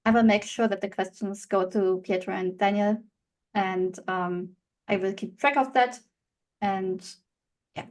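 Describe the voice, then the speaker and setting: A woman's voice: mid-range voice, fairly even intonation, a little slow. A woman from Germany, moderating an online webinar and giving informal housekeeping instructions.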